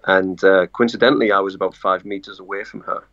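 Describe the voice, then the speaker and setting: A man with a northeast English accent speaks as a journalist guest, his voice low and gravelly. He talks haltingly, with frequent pauses and restarts. The remote call audio sounds dull and lo-fi. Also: fairly even intonation, fairly bright tone. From northeast England. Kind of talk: casual remote interview.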